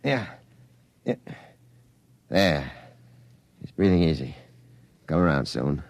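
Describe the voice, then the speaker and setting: A man playing a tough, loyal rocket pilot, gruff and clipped, in 1950s radio drama style. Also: rumbling low, big pitch swings, slow, no room sound, heavily acted. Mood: firm. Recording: noisy 1950s radio recording.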